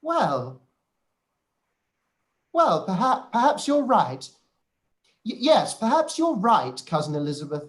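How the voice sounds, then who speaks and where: Pompous period-drama clergyman, acted out: slow delivery, fawning, sanctimonious. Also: mid-range voice, very animated. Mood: flustered. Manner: sanctimonious, halting when flustered. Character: pompous, obsequious male clergyman cousin. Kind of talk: live-read period radio play.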